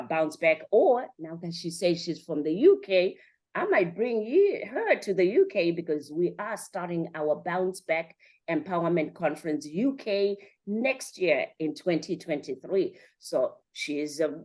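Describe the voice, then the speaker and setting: Female podcast host, Zimbabwean accent, slightly low voice, chatty and effusive, talks straight to the audience. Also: darkish tone. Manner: effusive, addresses listeners directly, checks with "right" and "you know". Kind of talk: chatty, conversational hosting.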